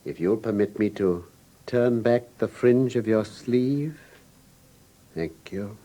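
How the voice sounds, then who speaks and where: An actor playing a shrewd, drily witty gentleman detective with precise, urbane Received Pronunciation, in a classic radio drama. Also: fairly low pitch, takes it slowly. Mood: grave, probing. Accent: English Received Pronunciation.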